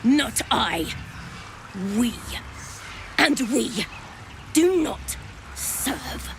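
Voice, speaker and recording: A woman playing an eerie hive-minded thrall in a fantasy game, with an unsettling, drawn-out delivery. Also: fairly high pitch, big pitch swings, slight background noise, heavily acted. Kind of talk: dramatic fantasy game acting.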